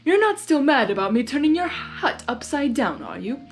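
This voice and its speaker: A woman's voice: high voice, big pitch swings, moderate pace, soft background music, pitched above the performer's own voice. A woman voicing a petulant, self-important male chaos creature in a story, melodramatic and exasperated. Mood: cocky.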